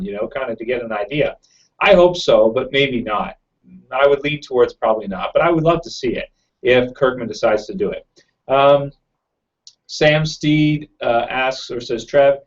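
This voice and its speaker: Fast-talking man on a lo-fi live stream. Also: mid-range voice. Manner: fast-talking, rambling with many fillers. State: slightly raw throat. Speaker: YouTube channel host. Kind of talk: casual live chat answering viewer questions. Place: home.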